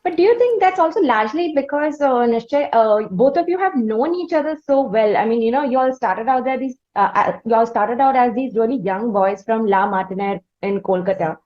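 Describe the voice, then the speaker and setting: A slightly dull, lo-fi recording of a woman with an Indian accent. Her voice sits a little high. She interviews conversationally, taking her time with long, halting lead-ins to each question. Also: darkish tone. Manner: wordy, halting build-up to questions. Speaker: interviewer, host.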